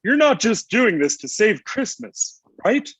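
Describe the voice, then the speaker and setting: A man's voice: high voice, sing-song, measured pace, a bit bright, slightly rough, no room sound, heavily acted. A man playing a sulky, lovesick old Santa Claus in a comedic table read. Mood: needy, sulky. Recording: dull lo-fi online call.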